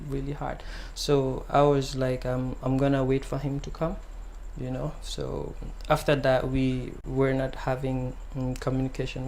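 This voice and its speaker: A young man from the Gambia, a singer-songwriter, answering interview questions softly and hesitantly, with many pauses and ums. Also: medium pitch, slight background noise.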